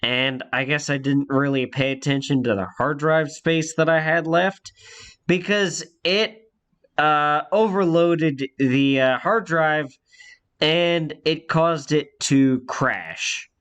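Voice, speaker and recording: Congested young man, slow rambling story. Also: a little high, steady tone, fairly bright tone, clear, resonant tone. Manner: rambling, halting, many fillers and restarts. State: congested from a cold. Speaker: YouTuber telling a story. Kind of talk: casual storytime monologue.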